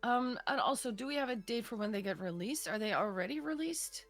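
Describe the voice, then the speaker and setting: A woman's voice: a little high, lively intonation, fairly quick, fairly bright tone. A woman co-hosting an esports broadcast in casual chat. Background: music in the background.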